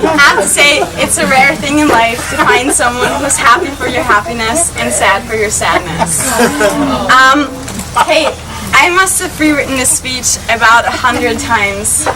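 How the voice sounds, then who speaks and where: A woman's voice: sits high, a little slow. A woman in her late twenties with an Austrian German accent, a native German speaker, giving a chatty, giggly maid-of-honor toast at a wedding reception. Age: late 20s. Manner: giggly, chatty, laughs between lines. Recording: distant mic in a noisy crowd.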